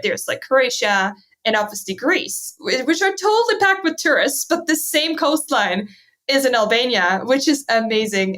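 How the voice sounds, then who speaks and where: A young woman with a German accent, talking in English as a podcast guest. Her voice sits a little high, and she keeps it casual and bubbly, laughing easily and filling pauses with "like" and "right?". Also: fairly bright tone. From southern Germany. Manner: upbeat, laughs often, many "like" and "right?" fillers. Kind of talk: casual interview conversation.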